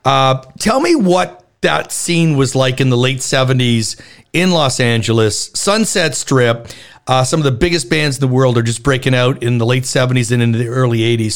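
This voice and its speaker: Male radio host, easygoing, rambling questions, mid-range voice. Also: mid-range voice. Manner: relaxed, chatty, drawn-out questions. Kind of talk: casual radio interview. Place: Toronto.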